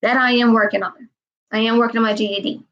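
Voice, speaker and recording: Young woman in her twenties, higher voice, rambling live-stream chat, muffled audio. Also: steady tone, quick pace, a bit bright. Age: 20s. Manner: meandering, repeats herself, frequent "um".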